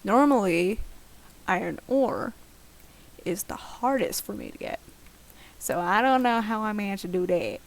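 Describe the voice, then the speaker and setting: A woman's voice: mid-range voice, unhurried, long pauses. A young woman giving casual, rambling, self-deprecating commentary while playing a game, recorded at home. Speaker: gaming video host. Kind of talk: casual Let's Play commentary of a survival game. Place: at home, late at night.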